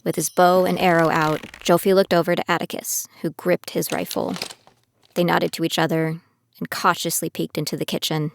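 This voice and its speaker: Young female reluctant fighter in an audio drama, self-doubting and grieving, lowish voice with vocal fry. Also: little pitch movement, moderate pace, a little hiss or hum. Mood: somber narration. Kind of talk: scripted audio drama narration and dialogue.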